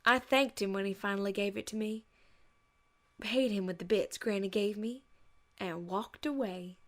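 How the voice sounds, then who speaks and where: A woman's voice: mid-range voice, normal speed, fairly bright tone, close to an everyday voice. A woman voicing a folksy young farm pony mare in a cartoon fan story, savoring her tale as she tells it. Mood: easygoing. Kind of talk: cartoon fan fiction voice acting.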